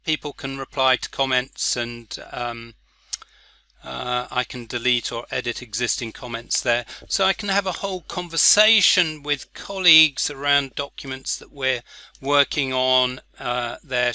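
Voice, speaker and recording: A man casually walking through a software interface, with frequent "uh" and "um" fillers. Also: medium pitch, dry recording. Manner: relaxed, many "uh" and "um" fillers. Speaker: software demonstrator. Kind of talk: screen-recorded software walkthrough.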